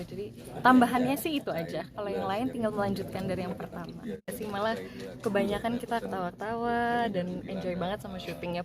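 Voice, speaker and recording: A woman, an actress, talking casually with the press, relaxed and chatty with many small fillers. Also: medium pitch. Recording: loud crowd noise behind.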